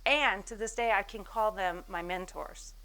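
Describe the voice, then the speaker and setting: An American woman in her forties tells her business story from a stage mic at a moderate, middle pitch. She swings her pitch and loudness widely as she acts out the people she quotes, and her self-mocking jokes keep the talk lively. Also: a little slow. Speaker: speaker giving a business talk. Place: event stage before an audience.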